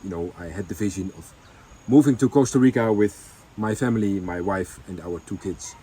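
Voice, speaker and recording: A man's voice: low-pitched, fairly quick, dark tone, breathy edge, poor audio. A man telling his family's story in a casual chat, with many ums and restarts. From Netherlands. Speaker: vlogger.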